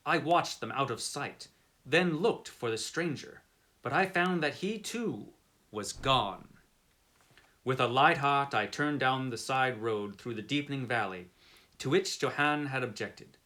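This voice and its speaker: A man giving a melodramatic reading of a classic gothic story, slow and theatrical with big swings in loudness. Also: mid-range voice. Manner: dramatic, slow, with sweeping rises and drops.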